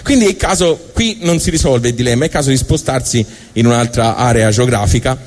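A male stand-up monologist with a Tuscan accent and a medium-pitched voice. He tells comic stories in everyday language, stopping, restarting and wandering off as he goes. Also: a little mellow. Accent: Tuscan Italian. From Tuscany, Italy. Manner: rambling, halting, colloquial, self-interrupting. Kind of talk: live comic storytelling monologue.

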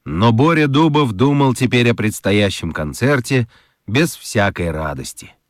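A man narrating a children's radio story in an expressive, scripted storytelling style. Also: mid-range voice, unhurried, dry recording, studio quality.

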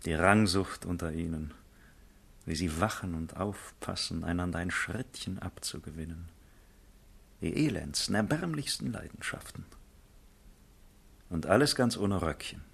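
A sardonic, world-weary gentleman of a period radio drama. His voice is very low and husky. He talks theatrically, as if sharing mocking confidences. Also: unhurried, pauses often, exaggerated voice. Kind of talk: German literary radio play acting.

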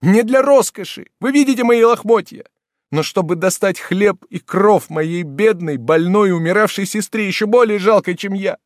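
Desperate sobbing young woman, played by a male reader: high-pitched, frantic, begging, storybook audiobook. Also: moderate pace, gravelly, darkish tone, no room sound, clean studio sound. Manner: shrill, tearful, pleading. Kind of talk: audiobook character voice.

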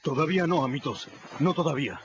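An actor playing a gentle, devoted older freed slave who speaks softly, humbly and respectfully, in a radio drama. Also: male voice, fairly high pitch, animated, quick pace, noisy background, natural voice. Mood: firm. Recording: muffled radio play with music.

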